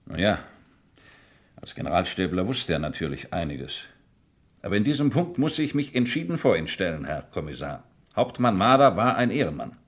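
A man's voice: sits low, very animated, moderate pace. A man playing a dutiful army major in a vintage radio crime drama, speaking with formal military courtesy. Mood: firm, indignant. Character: dutiful army major defending his fellow officers' honour.

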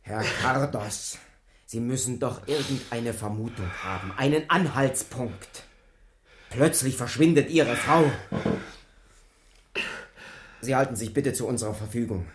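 An actor playing an insistent police officer in a radio drama, official and sceptical in manner. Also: male voice, mid-range voice, normal speed, slight background noise, lightly acted. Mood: pressing, sceptical. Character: insistent male police officer questioning a husband. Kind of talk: 1980s German radio drama acting. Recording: roomy, echoing radio drama scene.

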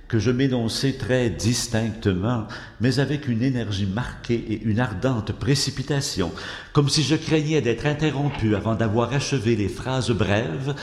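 A low-pitched male actor as a condemned murderer tells his confession like a gothic audiobook. He is haunted and feverish, with solemn, theatrical, very slow phrasing. The voice rings with long reverb. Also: a little mellow. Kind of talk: dramatic literary audiobook narration. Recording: echoey hall-like reverb.

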